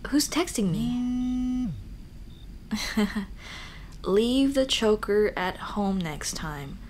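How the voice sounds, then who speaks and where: Woman acting a slow, shy, teasing monster girl. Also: on the high side, animated, slight background noise. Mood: flustered, fond. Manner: soft, hesitant, intimate, often dropping to a whisper. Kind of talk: ASMR romantic roleplay.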